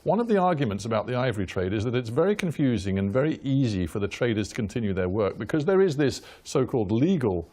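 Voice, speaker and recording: A man's voice: medium pitch, sing-song, fast, few pauses, warm tone. A man hosting a hard-hitting broadcast interview, asking blunt, probing questions.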